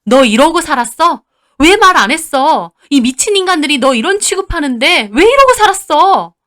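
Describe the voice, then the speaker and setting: Woman acting an outraged, high-voiced protective sister. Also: sing-song, moderate pace. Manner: shouting, confrontational.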